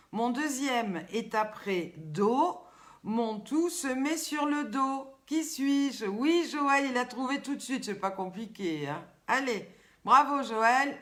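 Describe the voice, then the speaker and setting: An older woman with a Southern French accent, hosting a chatty live stream, asking riddles and teasing viewers by name. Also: fairly high pitch. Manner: lively, teasing, chatty, reads viewers' comments aloud. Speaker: host.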